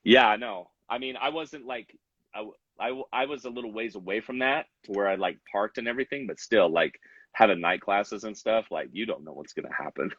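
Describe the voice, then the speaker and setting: A man's voice: fairly low pitch, fairly quick, fairly bright tone, slightly rough, dry recording, muffled recording. A young man in his twenties, a rapper from Salt Lake City, chatting casually as an interview guest with lots of fillers and restarts. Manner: rambling, many fillers and restarts.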